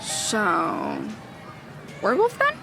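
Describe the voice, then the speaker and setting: A woman playing a nosy, excitable young girl who hunts ghosts, inquisitive and argumentative, in an audio drama. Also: mid-range voice, very slow, vocal fry, over music, heavily acted, low-quality recording. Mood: curious, eager.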